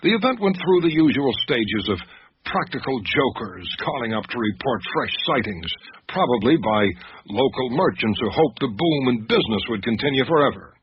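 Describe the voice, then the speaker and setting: An actor playing an anxious, literate male doctor who tells an eerie mystery in a radio drama, with measured, expressive delivery. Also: mid-range voice, husky. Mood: wry, measured. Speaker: narrator and lead character. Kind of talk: first-person radio drama narration and dialogue. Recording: noisy, dull radio drama recording.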